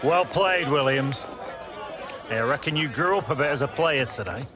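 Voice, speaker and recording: Plain-spoken football boss over crowd , acted. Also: male voice, medium pitch, lively intonation, normal speed, background noise, natural voice. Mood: approving. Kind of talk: naturalistic video game cutscene acting. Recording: music and crowd behind.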